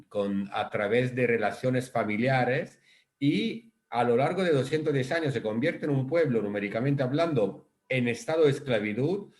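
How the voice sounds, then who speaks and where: A man's voice: medium pitch, a little mellow. A man from Italy with an Italian accent, a rabbi giving a thoughtful explanatory talk with many restarts over a video call. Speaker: rabbi, guest speaker.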